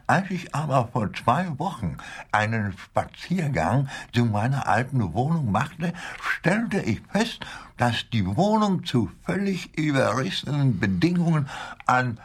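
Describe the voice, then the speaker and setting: An actor playing an indignant, spiteful old man from a retirement home in a radio play, speaking haltingly and with agitation. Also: medium pitch, sing-song, breathy edge, heavily acted. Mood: aggrieved. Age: older. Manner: halting, agitated, with rhetorical questions.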